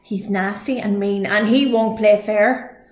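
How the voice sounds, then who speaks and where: A woman's voice: mid-range voice, steady tone, measured pace, a little mellow, close to an everyday voice. A woman voicing a big, sleepy, worried male giant in a children's storybook, fretting and complaining. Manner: fretful, complaining. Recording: roomy echo.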